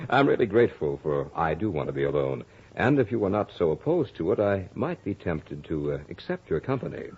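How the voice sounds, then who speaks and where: A man playing a studious, self-assured young gentleman, telling his story in a measured, literary way in the style of 1940s radio drama. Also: deep voice, very animated, breathy edge, heavily acted. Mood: courteous, amused. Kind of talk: 1940s radio drama acting and first-person narration. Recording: dull old radio recording, music behind.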